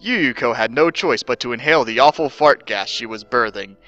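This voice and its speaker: Male narrator for fan fiction, bright and punchy, theatrically emphatic, over faint music. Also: medium pitch, moderate pace, dry recording, highs cut off. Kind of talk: dramatic reading of fan fiction.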